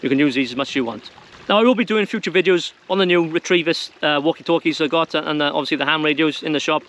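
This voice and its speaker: Man, slightly high voice, plain-spoken how-to presenter, conversational, informal instruction. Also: fast, a bit bright. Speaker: presenter of a prepping how-to video. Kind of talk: informal instructional talk to viewers.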